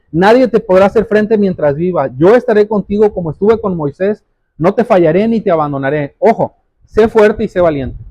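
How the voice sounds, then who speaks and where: A man's voice: high voice, mellow, no room sound, rough recording. A man preaching a sermon, explaining scripture didactically, repeating key phrases and putting direct questions to his listeners. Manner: addresses listeners directly as brothers.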